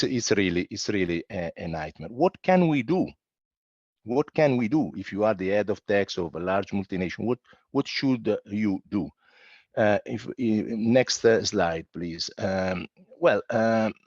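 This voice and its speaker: A man's voice: fairly low pitch, dry recording. A man giving a conference presentation as a panel speaker, emphatic and fond of repeating his phrases for effect. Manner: emphatic, repeats phrases, voices imagined objections. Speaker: panel speaker on international tax. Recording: dull, muffled sound.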